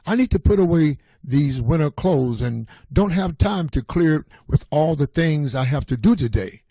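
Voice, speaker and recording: A man reads the lines of a storybook mom who is busy and let down. The delivery is firm and measured, in a mellow tone with little room sound. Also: on the high side, animated, no echo. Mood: disappointed. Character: busy, disappointed mother of a forgetful boy.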